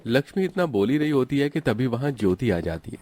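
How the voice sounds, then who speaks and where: A man's voice: mid-range voice, very animated, a little fast, darkish tone. A man narrating a scripted family cartoon story as the storyteller.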